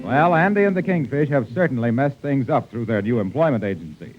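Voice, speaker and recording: A man's voice: mid-range voice, animated, brisk, loud music behind the voice. A man announcing a 1940s radio show, reading scripted narration and sponsor links. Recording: muffled 1940s radio recording.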